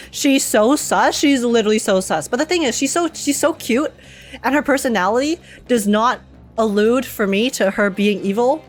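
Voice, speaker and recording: High-voiced, expressive young woman streamer. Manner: expressive, slangy, giggly. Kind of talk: casual game reaction commentary.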